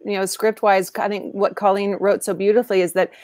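A woman's voice: medium pitch, brisk, dry recording. Chatty woman on a dry video call. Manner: animated, chatty with many restarts. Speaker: guest puppeteer and actor. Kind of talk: casual video call conversation. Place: home room with bookshelves. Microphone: video call from home.

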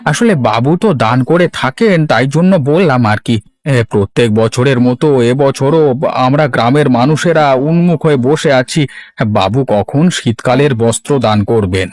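A man's voice: mid-range voice, expressive, normal speed, natural voice. A man playing a humble, earnest village man in a cartoon, speaking deferentially and pleadingly. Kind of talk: Bengali cartoon voice acting.

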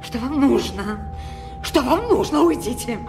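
An actress playing a frightened, fallen young adventuress in a radio play, breathless and insistent. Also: female voice, high-pitched, animated, a little slow. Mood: alarmed. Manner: breathless, insistent, repeating pleas. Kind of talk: melodramatic radio play acting. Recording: radio drama with music underneath.

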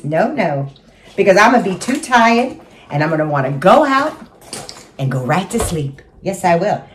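A woman's voice: medium pitch, a little hiss or hum, lightly acted. A woman doing a gushing superfan housewife. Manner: gushing, chatty, talks to herself while doing makeup. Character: giddy Southern housewife and mother of seven, superfan, chatty. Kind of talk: comic character monologue.

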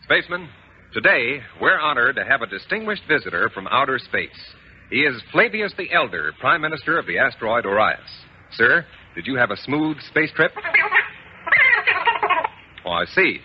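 A man's voice: mid-range voice, very animated, normal speed. A man working as a radio announcer in scripted 1950s style. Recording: muffled noisy 1950s radio recording.

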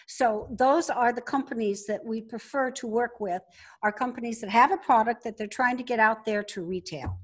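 Older lady, highish voice, emphatic, chatting as a business guest on a podcast. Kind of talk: conversational interview.

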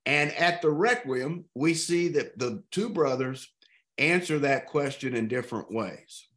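A man in his fifties from East Texas leading a literature discussion over a video call, expressive and thoughtful, stretching out key words. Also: medium pitch, measured pace. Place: home room with art on walls.

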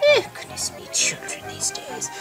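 A woman's voice: normal speed, music in the background, close to an everyday voice. A woman voicing a wicked, gloating sea witch in a toy play story. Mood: fussy. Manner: sneering, cackling.

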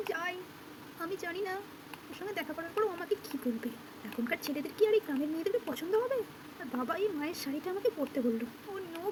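A woman's voice: very high voice, background noise. A woman voicing a fretful, reluctant young bride-to-be in a children's doll puppet play, chatting. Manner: fretful, chatty, code-mixes English exclamations. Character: young village-dressed woman student, reluctant about arranged marriage. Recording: loud music behind the voice.

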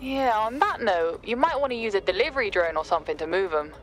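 An actress playing a cheeky, sly smuggler in a video game, with a drawling, sardonic, teasing manner. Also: female voice, medium pitch, expressive, quick pace, soft background music, lightly acted. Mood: wry, teasing. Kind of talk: video game cutscene acting.

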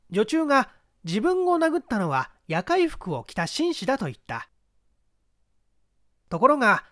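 Narrator playing a lively, confident boy detective. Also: mid-range voice, dry recording, studio quality. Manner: assured, methodical deduction. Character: confident clever schoolboy detective explaining a crime. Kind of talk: audiobook character reading.

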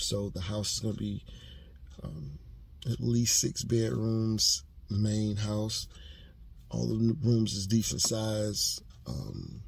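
A man's voice: lowish voice, vocal fry, darkish tone, breathy edge. A man in his thirties in a parked car, talking casually to a dashboard camera and thinking aloud with fillers and restarts. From United States. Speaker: vlogger. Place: parked car, seated inside. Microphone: camera on dashboard, facing him.

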